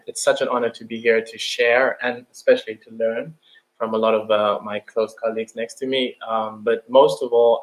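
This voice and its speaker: A man from Uganda speaks as a panel guest. His voice sits at a medium pitch and moves very little up or down. The delivery is earnest and conversational. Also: poor audio.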